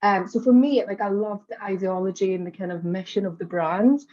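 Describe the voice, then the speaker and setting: A Scottish woman from Glasgow in her late twenties, a guest in an interview, telling her story in a relaxed, easygoing way. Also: mid-range voice, brisk. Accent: Scottish (Glaswegian). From Glasgow, Scotland. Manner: relaxed, storytelling, frequent "um" and "kind of". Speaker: guest, social media agency founder. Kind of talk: conversational interview. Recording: dull lo-fi remote audio.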